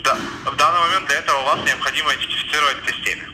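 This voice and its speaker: A man's voice: on the high side, steady tone, racing. A man talking like a scripted call-centre agent on a phone call, formal, officious and insistent, reciting stock phrases. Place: telephone line. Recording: echoey, noisy recorded telephone call.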